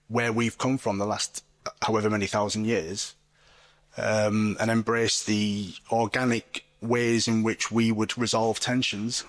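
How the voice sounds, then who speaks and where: A man speaking as an author on a festival panel, thinking aloud reflectively and often pausing with fillers. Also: fairly low pitch. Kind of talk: panel discussion.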